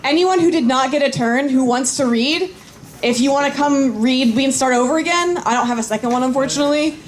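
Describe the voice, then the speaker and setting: A woman's voice: high voice, a little fast. A woman hosting a live convention panel, chatting casually with the people around her. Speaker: panel host. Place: indoor convention panel room. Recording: roomy convention hall, loud crowd behind.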